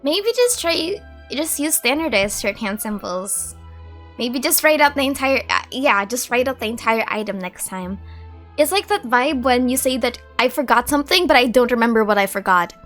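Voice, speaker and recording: Woman, gaming commentary, higher-pitched, chatty, rambling, self-correcting, soft music in background. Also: lively intonation, moderate pace. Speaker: gaming streamer. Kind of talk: casual let's play commentary. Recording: music playing behind.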